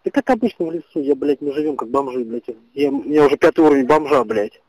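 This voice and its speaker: A man's voice: medium pitch, quick pace, darkish tone, dry recording. A man, a soldier, talking wearily and with lots of swearing in a casual intercepted phone call. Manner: weary, profane.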